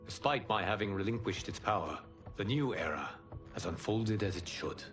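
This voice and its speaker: A man playing a serene fire god and wise protector, speaking in a measured, formal way in video game cutscenes. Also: on the low side, lively intonation, a bit bright. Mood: calm, reflective. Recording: music behind the voice.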